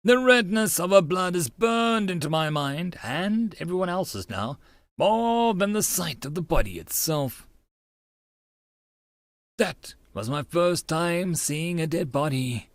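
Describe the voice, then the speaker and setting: A man voicing a shaken young man in a visual novel, with dramatic, halting, emphatic delivery. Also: sits high, lively intonation, no echo, exaggerated voice. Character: young anime man, panicked, melodramatic student narrator.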